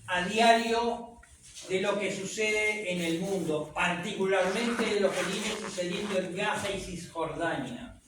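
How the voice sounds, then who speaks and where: A man with a high voice speaks slowly through an echoey room, heard from some distance off with a little faint noise behind him. His first language is French. He lectures an audience in a conversational way, full of rhetorical questions. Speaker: speaker at a public talk.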